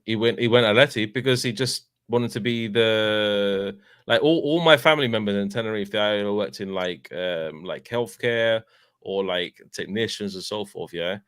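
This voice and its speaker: A man in his thirties with a London accent, chatting casually as a fan channel host, telling animated stories with lots of filler tags. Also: lowish voice, normal speed, smooth, no echo, studio quality. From England. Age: 30s. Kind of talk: casual chat.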